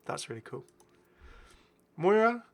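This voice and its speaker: A man's voice: sits high, big pitch swings, slow pace, creaky. A British man giving casual, enthusiastic, rambling commentary as the host of a gaming video. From Britain. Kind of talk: casual commentary over game footage.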